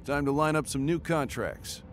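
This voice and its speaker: A man playing a calm, pensive mercenary commander in a sci-fi video game, speaking in a measured, matter-of-fact way. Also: on the high side, a little hiss or hum, close to an everyday voice. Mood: calm, thoughtful. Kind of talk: video game cutscene acting. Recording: music under the voice. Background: music.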